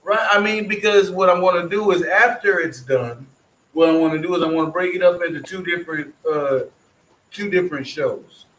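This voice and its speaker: A man's voice: a little high, normal speed, a bit bright. A man hosting a casual online group chat, rambling loosely about his plans and swearing freely. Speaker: stream host. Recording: echoey room.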